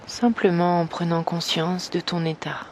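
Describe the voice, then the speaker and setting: A woman guiding an evening meditation slowly and gently, in a soft, breathy voice that nears a whisper, with long pauses. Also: fairly low pitch, flat delivery, a little hiss or hum. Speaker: meditation guide. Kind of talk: guided evening meditation, yoga nidra.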